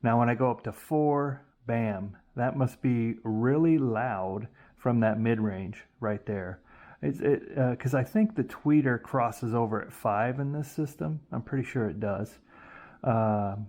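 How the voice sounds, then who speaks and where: A man's voice: medium pitch, a little slow, darkish tone. Man casually thinking aloud through a demo. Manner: informal, thinking aloud, self-correcting. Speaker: YouTube host demonstrating vintage audio testing. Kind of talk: casual hands-on tutorial, explaining as he goes.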